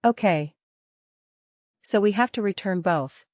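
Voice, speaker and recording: A woman working as a software trainer, teaching interactively step by step, repeating key points and posing questions to learners. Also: mid-range voice, a little slow, no echo, clean studio sound.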